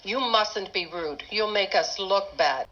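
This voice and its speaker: A woman's voice: mid-range voice, measured pace, fairly bright tone, close to an everyday voice. A woman voicing a proper, disapproving old female railway coach in a cartoon, prim and reproving. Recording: dull lo-fi microphone.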